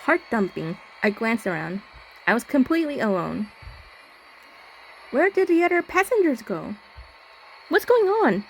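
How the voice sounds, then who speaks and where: A woman playing a polite, uneasy young heroine in an expressive reading of a visual novel script. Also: on the high side, unhurried, pauses often, a little hiss or hum, low-quality recording. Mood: startled, fearful.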